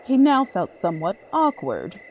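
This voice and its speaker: A woman's voice: mid-range voice, wildly swooping pitch, moderate pace, a little mellow, dull audio, a little hiss or hum. A woman reading scripted narration for a dramatic horror story.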